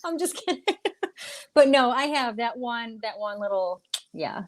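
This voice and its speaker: A woman from upstate New York with a New York accent, a paranormal investigator chatting in a relaxed, rambling way and laughing easily. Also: on the high side, a little slow, a crowd in the background. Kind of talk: casual interview chat.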